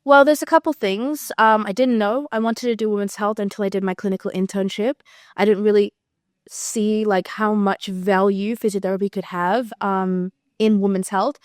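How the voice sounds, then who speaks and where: Chatty Australian woman with a smooth voice. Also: medium pitch, normal speed, no room sound, clean studio sound. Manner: chatty, animated storytelling with acted-out quotes. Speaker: physiotherapist guest. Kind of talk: casual podcast chat.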